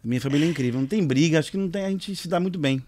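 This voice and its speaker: Man from São Paulo, musician guest, fast easy talker, slightly high voice. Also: dark tone. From São Paulo, Brazil. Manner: laughs easily, rambling anecdotes. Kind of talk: casual bar-table chat.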